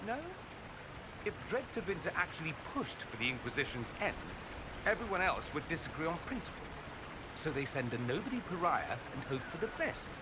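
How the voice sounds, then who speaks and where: A man's voice: on the high side, very animated, a little fast. A man playing a suave, witty aristocratic mage in a video game, arch and dryly ironic. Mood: arch, amused. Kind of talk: video game character dialogue. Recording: loud background noise and ambience.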